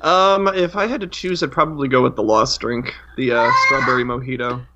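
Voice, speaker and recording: A man's voice: medium pitch, fairly quick. An American man from Wisconsin co-hosting a casual podcast. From Wisconsin, United States. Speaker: podcast co-host. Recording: dull lo-fi mic, heavy noise, clicks.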